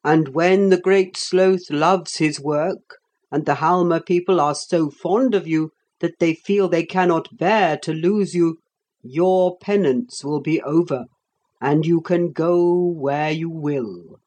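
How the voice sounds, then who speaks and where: A woman voicing a grave, calm Roman emperor who passes judgment in a slow, measured way. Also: deep voice. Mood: solemn.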